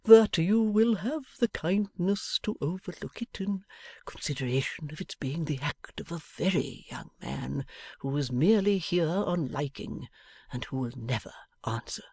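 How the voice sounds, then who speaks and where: A woman reading an audiobook, voicing a solemn, pompous older waiter who speaks in a hushed, stately manner. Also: deep voice, expressive, a little slow, gravelly, slightly rough, dry recording, full character voice.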